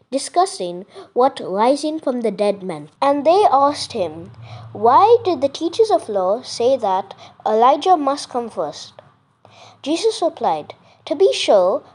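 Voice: mid-range voice, a little mellow. A child reading scripture aloud from a script, carefully, with small stumbles and self-corrections. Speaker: reader.

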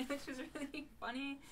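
A young adult woman co-hosting a podcast in casual, chatty banter, giggly and self-deprecating. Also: sits high. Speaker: podcast co-host.

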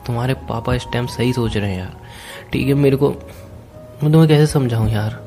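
A man's voice: medium pitch, fairly quick. Young man pleading on an emotional call. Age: young adult. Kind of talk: emotional private phone conversation. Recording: phone call recording with music. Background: music.

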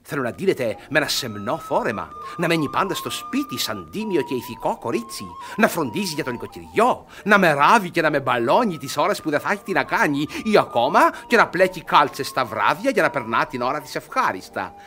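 A grumbling, old-fashioned guardian in a stage comedy for radio. His voice is bright and forceful, pitched fairly high, with big swings of intonation. He lectures sarcastically and lays down the law with stubborn emphasis. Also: sing-song, few pauses, faint music behind. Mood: domineering, lecturing. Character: stern, grumbling, possessive older male guardian, old-fashioned. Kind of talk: Greek radio theatre comedy acting.

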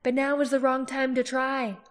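A woman's voice: sits high, level tone, moderate pace, voice pushed up, close to an everyday voice. A woman reading the lines of a resolute young female warrior in an audiobook, urgent and pleading.

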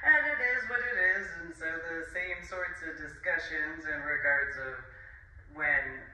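Chatty woman, bright echoey far-off voice. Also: sits low, a little slow. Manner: rambling, chuckling, heavy on fillers and dismissive asides. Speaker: vlogger. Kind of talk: casual rambling monologue. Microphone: camera at a distance. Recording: echoey room, far from the mic.

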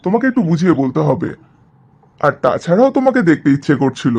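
A man's voice: on the high side, very animated, fast, darkish tone, breathy edge, exaggerated voice. A man playing an easygoing, affectionate young husband in a cartoon drama, coaxing and explaining. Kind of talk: animated cartoon drama dialogue.

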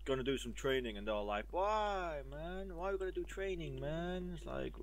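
A man's voice: a little high, expressive, moderate pace, a little hiss or hum. Chatty German man with an English-sounding accent. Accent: English-sounding, German native. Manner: chatty, stumbles over words. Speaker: player in voice chat.

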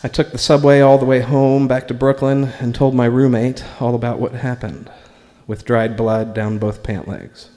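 An American man reading his own writing aloud at a live open mic, in a deliberate, measured way with pauses between short phrases. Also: medium pitch, fairly even intonation, warm tone. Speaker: spoken word performer reading own writing. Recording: live recording in a reverberant room.

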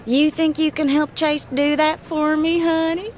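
A woman playing a friendly, confident young policewoman with a sweet, familiar manner in an animated game. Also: sits high, unhurried, a little hiss or hum. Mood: helpful, confident.

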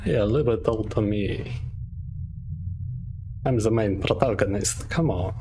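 Slow-talking man with a Russian accent. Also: lowish voice, background noise. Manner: thinking aloud with many pauses and hums. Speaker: streamer. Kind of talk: casual gameplay commentary. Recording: game music playing under the voice.

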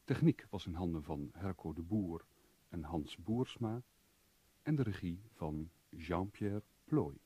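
A man announcing a radio programme in a formal, measured, scripted style. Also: low-pitched, lively intonation, warm tone, breathy edge. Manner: measured, deliberate.

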